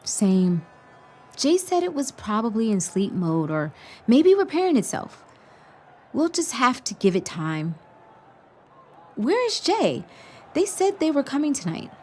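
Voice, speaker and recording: Audio drama casting: woman as a loyal, excitable high school girl, high voice with big swings. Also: unhurried, pauses often. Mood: calm, reassuring.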